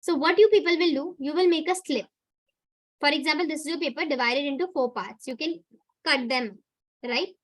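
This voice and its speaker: A woman's voice: sits high, moderate pace, fairly bright tone. A young woman teacher with an Indian English accent, giving students a chatty pep talk and checking in with "right?". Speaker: online teacher. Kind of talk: motivational talk to students in a live class.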